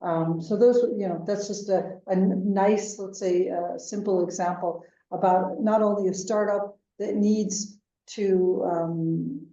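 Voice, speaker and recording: A woman's voice: mid-range voice, steady tone, mellow. American woman on an echoey remote call. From United States. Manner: measured, with frequent ums and uhs. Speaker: venture capital executive, guest. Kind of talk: interview answers. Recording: distant, echoey, dull remote call audio.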